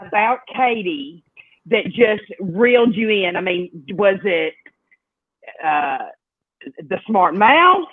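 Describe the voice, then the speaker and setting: A woman in her fifties hosting a panel in a casual, chatty way. Her voice sits at a middling pitch and comes through a thin, phone-like connection. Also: lively intonation, slow, pauses often, no echo. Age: 50s. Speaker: livestream panel host. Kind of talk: casual chat. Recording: tinny, phone-like line.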